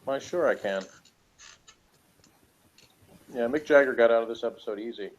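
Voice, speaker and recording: A man's voice: medium pitch, fast talker. A man co-hosting a podcast, giving casual, joking and sarcastic commentary on a TV episode. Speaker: podcast co-host. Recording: dull lo-fi recording.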